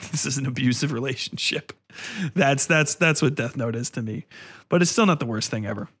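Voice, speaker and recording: A man's voice: mid-range voice, fairly quick, a bit bright, slightly rough. A man ranting casually as a podcast host, blunt, profane and exasperated, rambling through his opinions in slangy speech.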